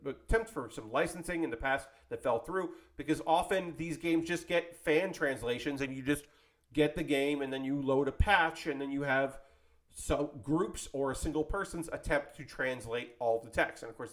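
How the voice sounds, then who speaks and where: A man's voice: on the high side, normal speed, fairly bright tone. A man hosting an anime video series in a casual, rambling, conversational style, self-deprecating and given to tangents and mild swearing. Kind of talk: casual rambling vlog commentary.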